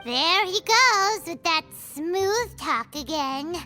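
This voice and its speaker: A woman voicing a tiny, excitable fairy-like girl companion who talks about herself in the third person. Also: very high voice, slow, faint music behind, heavily acted. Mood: chirpy. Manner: bubbly, exclaiming, speaks of herself in third person. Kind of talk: game cutscene voice acting.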